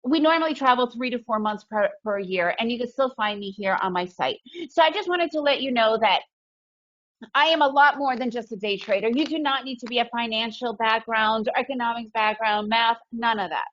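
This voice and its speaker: A woman's voice: on the high side, fairly quick, fairly bright tone. A woman raised in the US, with Russian as her first language, gives an upbeat, emphatic webinar talk from home, often asking "right?". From Soviet Union, raised in the US. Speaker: webinar presenter, day trader. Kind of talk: webinar presentation. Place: home in Guatemala. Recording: dull lo-fi webinar audio.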